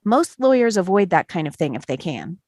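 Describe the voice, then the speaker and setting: A woman at a play table read, playing a calm, persistent juror who explains and tests evidence in a measured way. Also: on the low side, dry recording, clean studio sound. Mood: reasoning.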